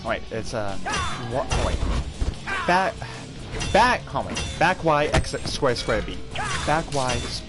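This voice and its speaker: A man's voice: medium pitch, big pitch swings, slow, pauses often. A man giving casual, self-deprecating and easily exasperated commentary as he streams a game. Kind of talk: casual gaming livestream commentary. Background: fighting game music and effects.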